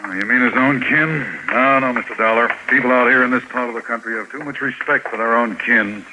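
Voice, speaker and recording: An actor playing a folksy, defensive American small-town police sergeant in a 1950s radio drama, matter-of-fact and placating. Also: male voice, medium pitch, fairly quick, natural voice. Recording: noisy crackly 1950s radio recording.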